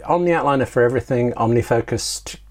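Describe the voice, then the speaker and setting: A man's voice: mid-range voice, quick pace, darkish tone. A man talking casually as an interview guest, telling anecdotes in a wry, self-deprecating way with rambling asides. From Britain.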